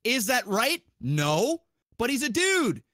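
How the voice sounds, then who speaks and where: A man talking as a podcast host, giving a casual, opinionated monologue in an animated, rambling, profanity-heavy and argumentative way. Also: extremely high pitch, slow, no room sound, clean studio sound.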